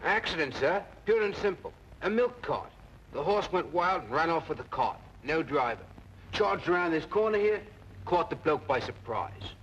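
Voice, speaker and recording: A man's voice: fairly high pitch, animated, normal speed, a bit bright, dull audio, slight background noise. An actor playing a talkative, deferential policeman who reports eagerly in clipped phrases, in an old-time radio drama. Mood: eager reporting.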